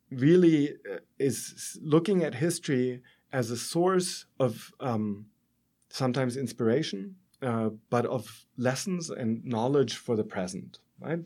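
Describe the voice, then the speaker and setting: A middle-aged man with a German accent speaks as an expert guest in an academic interview. His voice sits at a medium pitch. He explains in a halting, thinking-aloud way, often adding a short tag to check understanding. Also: a little mellow.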